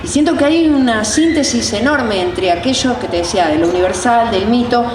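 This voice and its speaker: A woman's voice: fairly high pitch, animated, a little fast. A woman with an Argentine accent interviewing in a conversational, reflective way, thinking aloud with hesitant, roundabout phrasing. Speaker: interviewer, theatre director. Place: outdoors on a neighbourhood sidewalk. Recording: very noisy street, distant echoing mic. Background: loud street and train noise.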